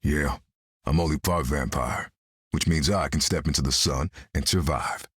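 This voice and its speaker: An actor playing a gruff, wry half-vampire swordsman in a video game, with a gravelly, terse delivery. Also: moderate pace, fairly bright tone, dry recording, clean studio sound, exaggerated voice. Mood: cool, wry. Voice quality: gravelly, very deep.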